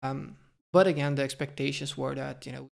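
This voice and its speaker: A man's voice: mid-range voice, fairly even intonation, brisk, vocal fry. A young man hosting a finance channel, talking through a stock valuation over slides and thinking aloud with fillers, restarts and careful hedging. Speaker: finance video host.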